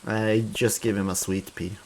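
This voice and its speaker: A man's voice: lowish voice, flat delivery, normal speed, a little mellow, slight background noise, poor audio. A young man, chatting casually as he plays a video game with friends. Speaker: video game player in a co-op stream. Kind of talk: casual gaming chat. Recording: clear game music behind. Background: game music.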